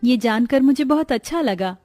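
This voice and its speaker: A humble poor young woman from a cartoon morality tale, acted by a woman. Her voice is very high with a mellow tone. She speaks earnestly and pleadingly, like someone hardworking and overlooked. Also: lively intonation, moderate pace, no echo, clean studio sound, faint music behind. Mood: gentle, forgiving. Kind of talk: scripted cartoon moral story acting.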